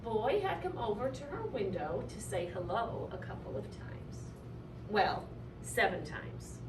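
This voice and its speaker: A woman reading a children's picture book aloud in an animated, deliberate way with dramatic emphasis. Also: mid-range voice. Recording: echoey room, distant mic, clear noise.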